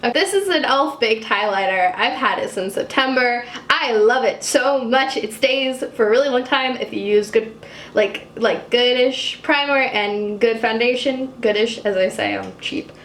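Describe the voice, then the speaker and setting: A young woman with a low, husky voice chatting casually while doing her makeup, self-mocking and swearing offhandedly. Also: normal speed, fairly bright tone, echoey room, a little hiss or hum. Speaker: YouTuber doing a makeup tutorial. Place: apartment room.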